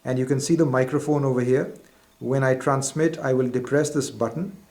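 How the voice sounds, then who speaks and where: A man's voice: mid-range voice, fairly even intonation, a little fast, darkish tone. A man presenting a step-by-step instructional demonstration in a methodical, formal, explanatory way. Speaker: product demonstrator.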